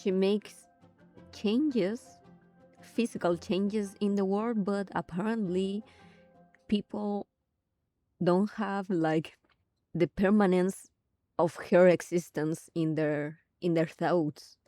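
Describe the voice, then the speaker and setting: Woman streamer, slow halting reactions. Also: medium pitch, fairly even intonation, dark tone, dry recording, soft background music. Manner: thinks aloud, halting, exclamatory. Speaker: streamer playing a game. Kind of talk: casual game reaction commentary.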